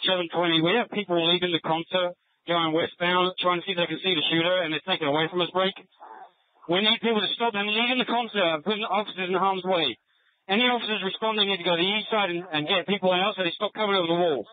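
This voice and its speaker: A man's voice: high-pitched, fairly even intonation, quick pace, no echo. A male police officer giving an urgent emergency report over police radio. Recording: narrow tinny scanner radio.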